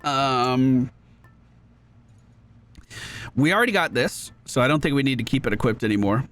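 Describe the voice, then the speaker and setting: A man's voice: medium pitch, animated, moderate pace, a bit bright. A man hosting a gaming video, giving upbeat running commentary on his own play. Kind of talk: gameplay commentary. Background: video game music.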